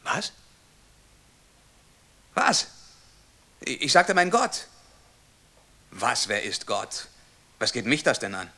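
An actor playing a servile, easily flustered state telephone clerk in a radio play, stammering and officious, quick to turn from indignation to obsequiousness. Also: male voice, medium pitch, big pitch swings, sharp tone, exaggerated voice. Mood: indignant. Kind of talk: German radio play acting.

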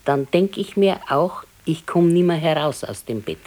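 A woman playing an aging, resigned, gently chiding wife in a radio play, with a southern German, Bavarian accent and a matter-of-fact manner. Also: deep voice, lively intonation, moderate pace. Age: older. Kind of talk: naturalistic radio play acting.

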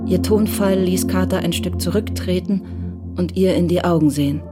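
A woman narrating a German radio crime drama in a scripted literary style, measured and composed. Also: lowish voice. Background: music under the voice.

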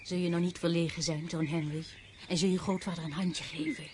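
An actress in a radio drama playing a gentle, proud young widowed mother with a polite, reserved manner. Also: lowish voice, fairly even intonation, brisk, slight background noise, close to an everyday voice. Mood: coaxing, gentle. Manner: soft-spoken with her child, politely reserved.

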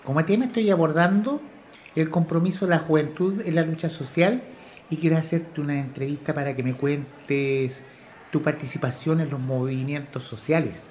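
A man's voice: fairly high pitch, normal speed, darkish tone, slight background noise, lightly acted. A man with a Chilean accent playing a polite young journalism student in a radio drama. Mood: polite, earnest. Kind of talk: natural radio drama dialogue.

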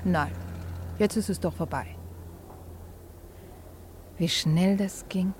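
Low, wistful young bride. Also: female voice, slow pace, darkish tone, a little hiss or hum. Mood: dazed, wistful. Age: young adult. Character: tender, anxious young bride, dutiful daughter. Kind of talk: serious German radio drama acting.

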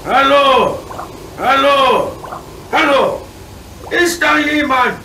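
An actor playing a steady, fatherly locomotive driver in a children's radio play, plain-spoken and reassuring. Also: drawn-out words, creaky, full character voice, rough recording. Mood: calling out. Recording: loud rumbling train noise behind.

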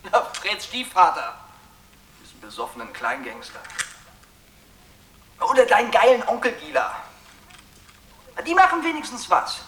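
Distant, sneering young thug. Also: male voice, high voice, fairly bright tone, full character voice. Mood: goading. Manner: goading, sneering, slangy. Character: taunting, rough young street gang criminal. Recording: distant from mic, noisy ambience.

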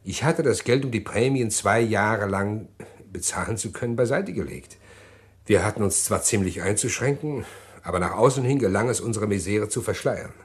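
An actor playing a middle-aged man who calmly confesses a murder plot in an old radio play, measured and hesitant. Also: fairly low pitch, close to an everyday voice. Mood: calm confessing. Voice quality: measured, deliberate, hesitant with pauses. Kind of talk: 1950s radio drama acting.

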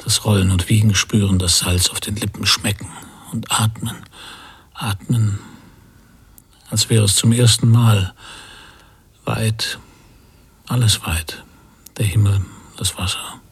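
Brooding dad fleeing by ship, really breathy and husky, slow halting radio play monologue. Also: male voice, fairly low pitch, fairly even intonation, dry recording, full character voice. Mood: brooding, hushed. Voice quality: breathy, husky, often near a whisper. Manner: slow, halting, introspective phrases. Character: pensive emigrant father at sea, relieved yet uneasy. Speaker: narrator and lead character. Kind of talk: literary radio drama monologue.

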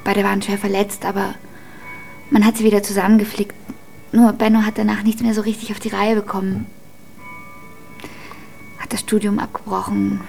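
A woman's voice: medium pitch, flat delivery, normal speed, vocal fry, pauses often, darkish tone, soft background music, lightly acted. An actress in a German radio drama playing a frank, melancholy young woman who confides hesitantly. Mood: confiding, weary.

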